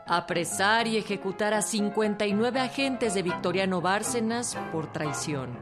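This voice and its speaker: A woman's voice: medium pitch, lively intonation, unhurried. Woman narrating over prominent string music. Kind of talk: scripted documentary drama narration.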